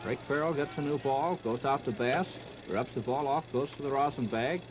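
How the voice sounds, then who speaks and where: A man's voice: mid-range voice, moderate pace, a crowd in the background. An American man calling baseball play-by-play in clipped, terse phrases, in the style of 1930s live radio commentary. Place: ballpark broadcast. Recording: noisy, muffled 1930s radio disc recording.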